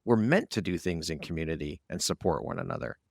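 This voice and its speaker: Guy podcast host chatting with a guest, pretty deep voice, very dry sound. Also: lively intonation, fast talker, creaky, dry recording. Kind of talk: conversational interviewing.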